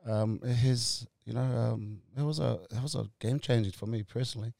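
A man in his forties from Tonga, telling his story as an interview guest in a halting way, full of fillers and restarts, laughing easily. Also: mid-range voice, steady tone, vocal fry, warm tone, no echo, studio quality. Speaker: guest, company co-founder. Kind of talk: casual interview chat.

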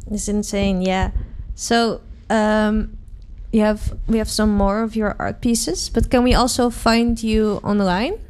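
A woman hosts a casual interview at a middle pitch. She asks questions in a friendly, encouraging way. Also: fairly even intonation, slow pace, hardly any pauses, a little mellow, clear, resonant tone.

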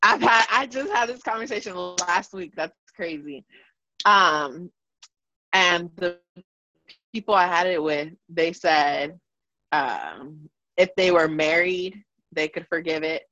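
A woman co-hosts a casual podcast chat, calling in from her phone on a video call. She speaks slowly in a bright, sharp voice. The call audio sounds dull and dry. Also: fairly low pitch, lively intonation, no echo. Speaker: podcast co-host.

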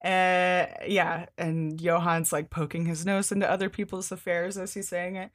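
Casual, sweary woman podcaster, lowish voice. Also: level tone, normal speed. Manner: informal, enthusiastic, sweary. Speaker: podcast co-host. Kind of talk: casual comic book discussion.

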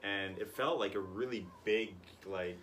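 A man with a very low voice hosts a podcast in an easygoing, meandering way. He fills his talk with "like" and "kinda" and asks loose, friendly questions. Faint noise hums behind him. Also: measured pace, a bit bright. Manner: rambling, lots of "like" and "kinda". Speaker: podcast host. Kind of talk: casual interview chat.

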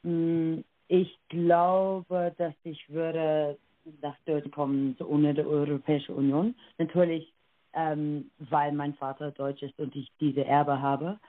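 A woman's voice: sits low, little pitch movement, slow, no echo. A young Irish woman with an Irish accent, answering interview questions hesitantly, with many filler sounds and self-corrections. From Ireland. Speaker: interview guest. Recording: tinny phone-like line, dry.

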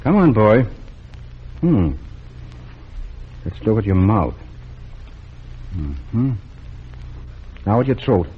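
An actor playing a kind, calm small-town doctor who speaks gently and reassuringly, in 1940s radio acting. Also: male voice, on the low side, drawn-out words, pauses often, slight background noise, full character voice. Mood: gentle. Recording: muffled old radio broadcast.

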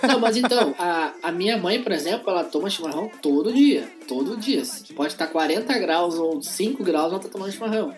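A man's voice: high-pitched, expressive, fairly quick, hardly any pauses, soft background music. A man in casual group chat, earnestly explaining customs.

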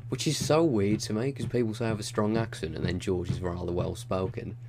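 A man's voice: lowish voice, steady tone, fairly quick, noisy background. A man co-hosting a podcast, teasing his co-host and swearing often in casual chat.

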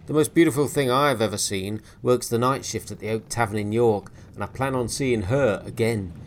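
A man's voice: mid-range voice, normal speed, close to an everyday voice. A man voicing a surly male sailor who barks dismissively. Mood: irritable. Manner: barking, dismissive.

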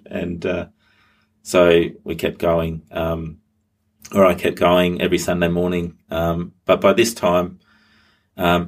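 A middle-aged man, a pastor, telling his story as an interview guest, hesitant with many ums and restarts. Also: deep voice. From Australia. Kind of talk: interview guest, personal testimony.

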